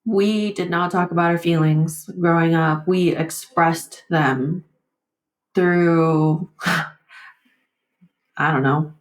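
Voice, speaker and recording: A roomy recording carries a woman's slightly low voice in a candid interview. Vietnamese is her first language. She speaks reflectively and haltingly, circling back and leaning on "like" and "you know" as she works out her thoughts. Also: steady tone. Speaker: podcast guest.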